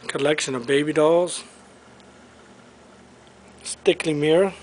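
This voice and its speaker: Man, auction preview commentary, slow, clipped phrases, item by item, light background noise, indoor showroom, camera mic. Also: a little high, fairly even intonation. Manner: clipped, listing items one by one. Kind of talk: walk-through item commentary in short phrases. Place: large indoor auction showroom full of furniture. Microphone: handheld camera microphone. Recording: noisy handheld camera in a showroom.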